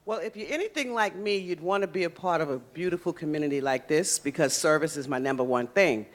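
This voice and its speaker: Enthusiastic speaker giving a church testimony. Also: female voice, low voice, quick pace. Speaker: new church member. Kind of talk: short testimony.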